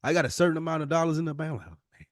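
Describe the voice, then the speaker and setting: A man's voice: on the high side, rapid-fire, dry recording, clean studio sound. A man co-hosting a podcast in casual debate, speaking emphatically and repeating himself for stress. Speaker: podcast host.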